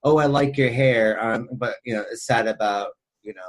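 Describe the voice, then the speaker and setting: A man with an average-pitched voice talks as a podcast co-host. He discusses things casually and wanders through anecdotes, leaning on "like" and "you know" as he goes. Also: normal speed.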